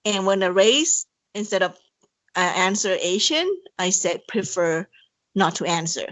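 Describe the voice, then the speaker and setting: A woman's voice: medium pitch, animated, measured pace, fairly bright tone, no room sound. A woman from Thailand with a Thai accent, whose first language is Thai, chatting as a podcast guest in a hesitant way with many fillers and restarts and frequent laughs. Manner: laughs often between phrases. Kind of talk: casual interview conversation.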